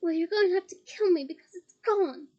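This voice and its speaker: A teenage boy wizard from a storybook, loud and defiant, taunting his enemy while in pain. His voice sits raised and strained, voiced by a woman reading aloud. He shouts more than he speaks. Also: extremely high pitch, fairly quick, lo-fi sound, voice pushed up.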